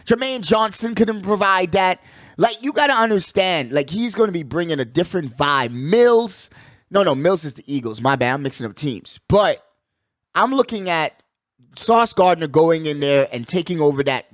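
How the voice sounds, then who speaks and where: A man's voice: high voice, no echo, studio quality. A man hosting a sports show, talking casually with strong opinions in a slangy, emphatic, conversational way, often repeating his phrases.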